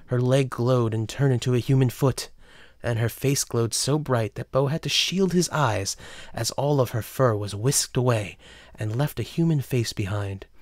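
A man's voice: medium pitch, normal speed, dry recording, clean studio sound. A man narrating a scripted story for an audio drama.

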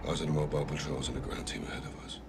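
An actor playing a gritty, defiant special forces captain in a military game cinematic, terse, blunt and determined. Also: male voice, extremely low pitch, level tone, racing, over music. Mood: terse, controlled.

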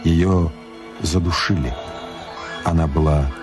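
A man's voice: a bass voice, fairly even intonation, slow pace. A man narrating a radio crime thriller as a grave storyteller. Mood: grave, tense. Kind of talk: dramatic radio play narration. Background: music under the voice.

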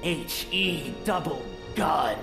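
A man's voice: a little high, lively intonation, slow pace, vocal fry, exaggerated voice. A man playing a brooding, cocky antihero hedgehog with presidential ambitions in an improvised comedy fandub, melodramatic and self-important. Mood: grandiose. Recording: music playing behind the voice.

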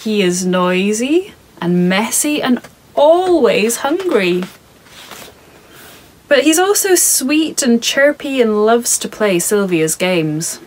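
A woman in her thirties reading her picture book aloud to children in a soft, calm, measured way, recorded in her home studio. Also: a little high.

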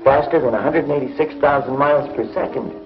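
A man's voice: medium pitch, quick pace, natural voice. A young man acting a bold, confident space pilot in a 1950s TV science fiction serial. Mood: confident, reassuring. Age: young adult. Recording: muffled old film soundtrack with music.

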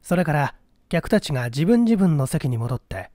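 Mellow, expressive male story narrator. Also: a little high, normal speed, dry recording, clean studio sound. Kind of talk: dramatic scripted story narration.

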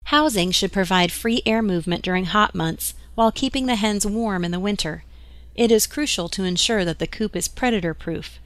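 Woman, mid-pitched, even instructional voice-over, dry close mic. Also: moderate pace, no room sound. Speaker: narrator of instructional slides. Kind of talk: scripted instructional narration.

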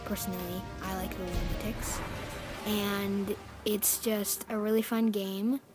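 Slow-talking, slightly hoarse child gaming host. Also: mid-range voice, little pitch movement, over music. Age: child, preteen. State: getting over a sickness. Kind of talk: scripted-sounding countdown narration and casual vlog intro.

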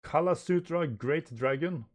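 A man's voice: a little high, unhurried, pitched above the performer's own voice. A man voicing a bold young male manga fighter, declaiming attack names in clipped phrases. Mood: fierce resolve. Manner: declamatory, clipped announcing of moves.

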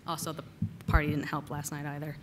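A woman giving a casual technical conference talk, slightly hoarse from a cold and using lots of "uh" and "um" fillers. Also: low voice, level tone, fast, background noise. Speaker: conference speaker. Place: conference hall.

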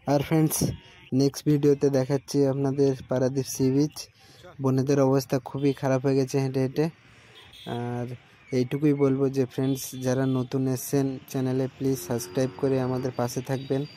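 Young male travel vlogger, mid pitch, little variation, recorded on a phone with clear outdoor noise. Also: dark tone. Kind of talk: casual travel vlog narration to viewers. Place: outdoors at a seaside tourist spot. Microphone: handheld phone.

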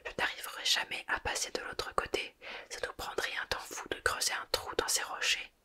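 A loyal, anxious boy wizard from a storybook speaks in a tense hush, as a woman whispers his lines. The whisper stays bright and crisp. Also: brisk.

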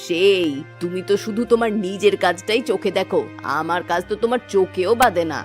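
A woman's voice: medium pitch, a little fast, lightly acted. A woman voicing a middle-aged, nagging yet hospitable village housewife in a cartoon story. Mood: aggrieved. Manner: complaining, homely. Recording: background music bed.

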